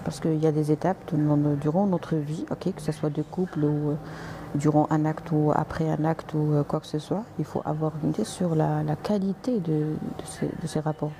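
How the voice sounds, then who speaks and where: Low-voiced woman doctor, live stream. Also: fairly even intonation, normal speed, warm tone, a little hiss or hum. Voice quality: mixes French with English and Arabic words. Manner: hesitant, restarts, polite and direct. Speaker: doctor answering live viewers. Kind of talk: live stream reading and answering comments.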